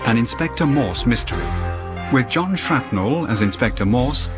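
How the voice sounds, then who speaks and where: A male announcer reading the opening credits of a radio drama in a measured, deliberate way. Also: mid-range voice, lively intonation. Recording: loud music behind. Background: loud theme music.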